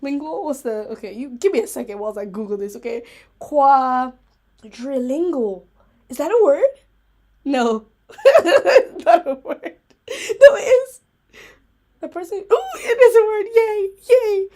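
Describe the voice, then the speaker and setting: A woman hosting a casual, rambling livestream chat, playful, giggly and self-mocking, with an animated, emphatic way of talking. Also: high-pitched, unhurried. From Africa. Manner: animated, emphatic, repeating herself for effect. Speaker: host of a chat vlog.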